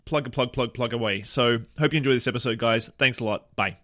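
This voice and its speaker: Male podcast host, mid pitch, casual and eager, filler-heavy delivery. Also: a little fast, fairly bright tone. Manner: filler-heavy, enthusiastic, restarts. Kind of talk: casual podcast intro and interview. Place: small bar.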